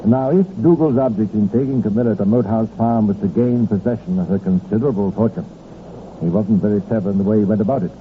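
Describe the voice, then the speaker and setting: Male narrator for vintage crime radio drama, formal and measured, lowish voice, thin telephone-like sound. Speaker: narrator and host. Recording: tinny, noisy 1950s radio recording.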